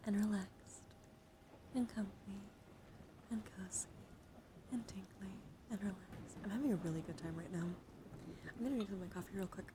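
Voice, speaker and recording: A woman hosts an ASMR livestream in a gentle, mid-pitched voice. She chats casually and often drops into whispers, repeating words soothingly. Noticeable background noise sits in the microphone signal. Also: moderate pace, pauses often. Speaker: livestream host. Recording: clearly noisy home microphone.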